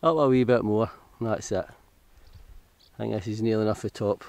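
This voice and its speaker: A man's voice: on the low side, moderate pace, creaky, darkish tone. Scottish man, hiking vlogger, rambling casual commentary, camera mic outdoors. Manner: chatty, rambling, self-correcting. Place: outdoors on hill paths.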